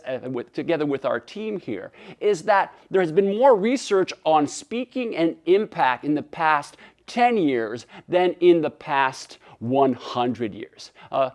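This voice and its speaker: A man from Canada whose native tongue is French gives an animated webinar keynote, often restarting phrases and acting out dialogue. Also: fairly high pitch. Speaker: keynote speaker. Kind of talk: webinar presentation.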